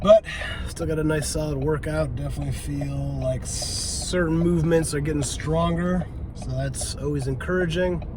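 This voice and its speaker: A man's voice: steady tone, normal speed. A congested man in his thirties, rambling casually to camera with fillers and swearing after leaving the gym outside. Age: 30s. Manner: loose, rambling self-talk with lots of fillers and swearing. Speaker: vlogger. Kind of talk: casual post-workout vlog. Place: outdoors by a road, leaving the gym. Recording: loud traffic noise outside. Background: traffic rumble.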